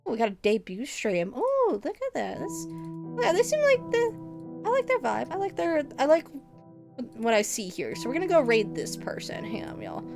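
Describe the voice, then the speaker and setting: A woman's voice: high-pitched, huge pitch swings, normal speed, creaky, over music. A woman livestreamer chatting casually with her viewers, teasing them playfully and laughing often. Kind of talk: casual stream chat with viewers.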